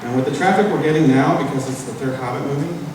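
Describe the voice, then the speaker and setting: A man's voice: medium pitch, quick pace, noisy background. Casual man hosting in an echoey hall. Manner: loose, full of fillers. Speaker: panel host. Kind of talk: casual presentation. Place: large convention hall. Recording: echoey hall, distant mic.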